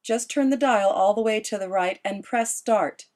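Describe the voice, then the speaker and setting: A woman's voice: medium pitch, moderate pace, darkish tone, voice pushed up, natural voice. A woman reading as a sweet, motherly middle-aged woman with a honeyed, coaxing way of talking. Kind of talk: audiobook character dialogue.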